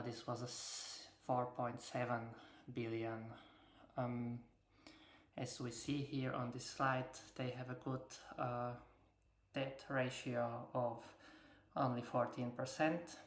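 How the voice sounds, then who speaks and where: An echoey recording of a woman talking through financial slides in English. She speaks slowly and haltingly, often stopping on filled pauses, and her intonation stays very flat. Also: extremely low pitch. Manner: non-native English with grammatical slips. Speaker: investor presenting earnings takeaways. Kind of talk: unscripted slide presentation commentary. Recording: echoey room sound.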